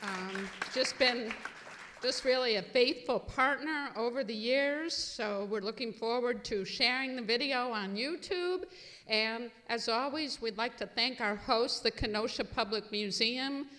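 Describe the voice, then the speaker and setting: A woman's voice: fairly high pitch, unhurried, a bit bright, some chatter behind. A woman presenting a live show, giving a welcome announcement to the audience from the stage. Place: museum event room before an audience. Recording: reverberant hall sound.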